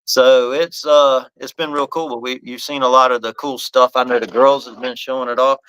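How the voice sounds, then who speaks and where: Bright, crisp-voiced man rambling on a podcast. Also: mid-range voice, fairly even intonation, normal speed, no room sound, low-quality recording. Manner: rambling, restarts phrases often. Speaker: podcast host and bat company owner. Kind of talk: casual live podcast chat.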